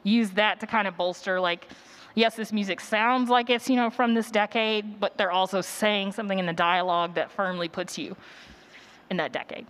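A woman's voice: mid-range voice, steady tone, moderate pace, fairly bright tone. Woman presenting an informal workshop. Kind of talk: informal workshop teaching with audience questions. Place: conference session room with an audience.

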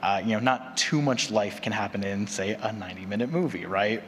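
A man's voice: fairly low pitch, a little fast. A man giving a casual presentation as a panelist, guiding the discussion along. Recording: echoey hall, background noise.

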